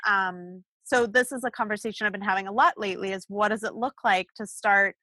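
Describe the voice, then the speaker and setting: A woman co-hosting a podcast in casual chat, succinct and quick to laugh, recorded in a small closet. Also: mid-range voice, bright, forceful tone, no room sound. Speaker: podcast co-host.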